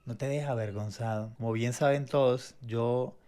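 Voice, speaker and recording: A man's voice: mid-range voice, steady tone, moderate pace, warm tone. Earnest Venezuelan-born man sharing testimony. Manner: earnest, reflective, with many restarts and repetitions. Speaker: co-host.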